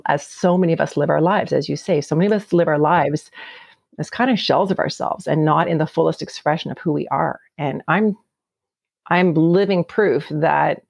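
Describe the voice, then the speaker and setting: Gravelly-voiced woman telling her story. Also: low-pitched, darkish tone, dry recording. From Canada. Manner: earnest, heartfelt, often repeats words. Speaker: guest. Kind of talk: reflective personal storytelling.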